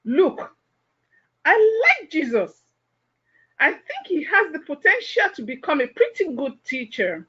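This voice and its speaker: A woman's voice: sits high, sing-song, a little slow, long pauses, fairly bright tone, highs cut off, exaggerated voice. A woman playing a haughty, judgmental gossip in a biblical audio play, condescending and full of disapproval. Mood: self-important.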